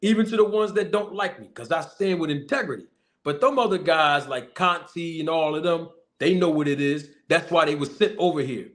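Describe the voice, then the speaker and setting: A man hosting his own online show, speaking in a somewhat high register for a man. His delivery is forceful and full of repetition and street slang. He hammers each point home as he makes his case to a guest. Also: brisk. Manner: forceful, repetitive, preacher-like emphasis; casual slang-heavy speech. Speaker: boxing manager and channel host. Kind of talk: emphatic casual talk show monologue.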